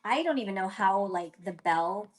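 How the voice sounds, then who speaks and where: A woman's voice: mid-range voice, animated, normal speed. Giggly upbeat woman co-hosting a sale. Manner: upbeat, giggly, reads buyer names. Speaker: co-host of pin sale. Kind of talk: casual live-sale chat.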